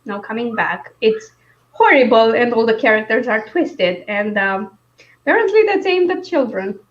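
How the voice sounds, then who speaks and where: A woman chats casually about books in a fairly high voice. Her manner is wry and informal, and the audio is slightly dull and lo-fi. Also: animated, normal speed. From Romania. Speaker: book discussion host. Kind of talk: casual book chat.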